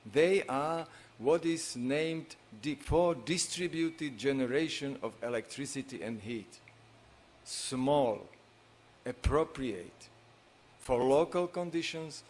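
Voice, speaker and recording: A middle-aged man with a Slovak accent giving a conference talk, halting and full of pauses, at a stage microphone. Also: mid-range voice, slight background noise. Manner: halting, many filler pauses, non-native phrasing. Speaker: conference speaker. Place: large conference hall on stage.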